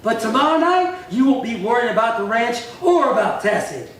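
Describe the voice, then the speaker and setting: An actor playing a vengeful gunslinger brother in an old-time western radio play, taunting and threatening. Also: male voice, very high voice, sing-song, normal speed, fairly bright tone, full character voice. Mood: bitter, menacing. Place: live theatre stage performance. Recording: roomy, distant stage pickup with faint noise.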